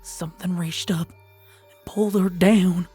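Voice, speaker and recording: A woman voicing a frightened, haunted young farm pony mare, stammering and halting in a dramatized horror story. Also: lowish voice, steady tone, mellow, breathy edge, soft background music.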